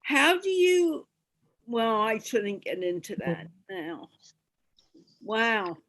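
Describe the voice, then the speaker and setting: A woman's voice: on the high side, big pitch swings, extremely slow, fairly bright tone. A woman hosting an online meetup, interviewing guests conversationally over a video call. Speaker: host.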